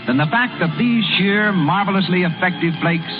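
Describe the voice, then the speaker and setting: A man's voice: high-pitched, moderate pace. A man announcing a 1930s radio program from a script in a formal, emphatic, declamatory way. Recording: lo-fi 1930s radio with loud music.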